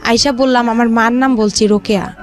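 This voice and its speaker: Female, Bangladeshi accent, very high voice, rambling personal storyteller, faint music underneath. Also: fairly even intonation, fairly quick. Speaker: guest telling their life story.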